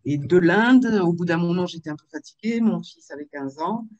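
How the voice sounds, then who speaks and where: A woman in her fifties from Marseille, telling her life story in a video-call interview, with frequent pauses and fillers. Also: fairly low pitch, animated, darkish tone, dry recording, lo-fi sound. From Marseille, France. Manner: hesitant, with many fillers and restarts. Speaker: author and interview guest.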